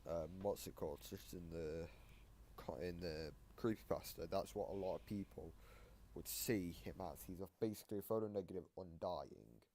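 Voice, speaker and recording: Low-voiced man commentating over noisy mic. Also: dark tone. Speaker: reaction video commentator. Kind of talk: casual explanatory commentary. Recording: noisy home mic with clicks.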